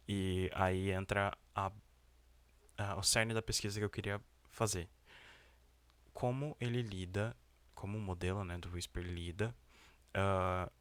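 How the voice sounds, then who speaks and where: A young man with a very deep voice talks through his research project in a loose, casual way. He hesitates often, fills pauses and restarts his sentences. The sound is close and completely dry, with no room around it. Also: fairly bright tone, no echo. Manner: hesitant, many fillers and restarts. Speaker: student presenting a research project. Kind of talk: casual screen-share walkthrough.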